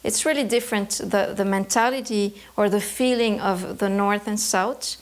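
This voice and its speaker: A woman's voice: mid-range voice, moderate pace. Thoughtful woman from Canada. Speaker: embroidery artist interviewee. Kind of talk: reflective interview answers.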